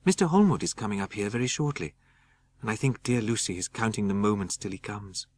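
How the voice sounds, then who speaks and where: Male narrator as worried young english lady reading her diary, gentle and careful, really varied intonation. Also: fairly low pitch, animated, a little fast, voice pushed down. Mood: quiet unease. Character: anxious young Englishwoman confiding in her journal. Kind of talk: dramatic audiobook reading.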